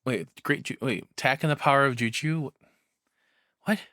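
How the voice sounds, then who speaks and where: A man's voice: medium pitch, normal speed, sharp tone, no room sound, clean studio sound. A man giving casual reaction commentary as a streamer, rambling along and swearing freely.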